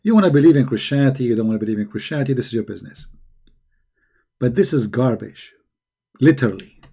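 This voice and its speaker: A hoarse man who is losing his voice, giving a sarcastic, scornful commentary. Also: medium pitch, expressive, quick pace, mellow. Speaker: religious critic. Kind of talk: mocking video commentary.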